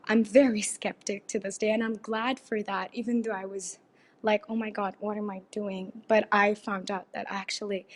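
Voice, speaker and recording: A teenage girl leaving an earnest, halting voicemail about her personal path, with soft pauses. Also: medium pitch. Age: teens. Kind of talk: personal testimony.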